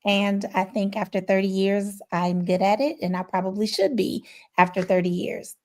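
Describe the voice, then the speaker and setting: Fifties woman marketer, chatty and candid, cracks jokes at herself, mid pitch. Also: moderate pace. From Chicago, Illinois. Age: 50s. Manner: candid, self-deprecating humor. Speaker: marketing consultant guest. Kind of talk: casual panel chat.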